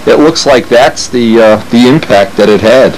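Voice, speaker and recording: Man, medium pitch, casual how-to narration, unscripted, thinking aloud, workshop recording with faint hum. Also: normal speed. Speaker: hobbyist demonstrating model plane repair. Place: indoor hobby workshop. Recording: noisy workshop with steady machine hum.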